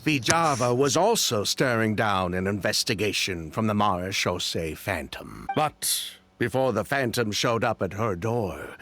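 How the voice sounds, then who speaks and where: An actor playing a weary, bitter former convict in a video game, telling things with resigned drama. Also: male voice, mid-range voice, fairly bright tone.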